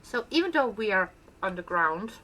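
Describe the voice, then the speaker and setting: A woman's voice: mid-range voice, very animated, normal speed, a bit bright. A woman with a Danish accent, a native Danish speaker, chatting casually and playfully as she shows off her build in gameplay commentary. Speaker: gaming channel host.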